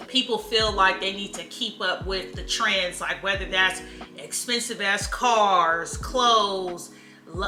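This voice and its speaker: A woman's voice: medium pitch, sharp tone. A woman, talking candidly to camera in a casual motivational vlog. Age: 30s. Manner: candid, chatty, drawn-out emphatic phrases. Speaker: vlogger. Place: room at home. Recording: echoey room with faint music.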